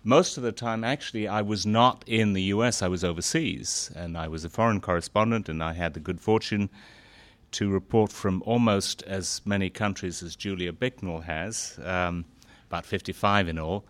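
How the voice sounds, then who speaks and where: A middle-aged man and former foreign correspondent speaking to a gathering, halting with fillers at first, then growing more animated. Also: deep voice, no echo. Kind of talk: speech to an audience.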